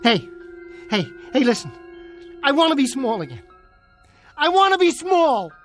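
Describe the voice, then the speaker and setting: A desperate jockey who has become a giant in a radio drama. His voice is very high and drawn out as he begs, repeating himself with mounting hysteria over soft music. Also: wildly swooping pitch, unhurried, heavily acted. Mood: desperate pleading. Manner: pleading, repetitive, increasingly hysterical. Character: desperate jockey turned giant, frantic and begging.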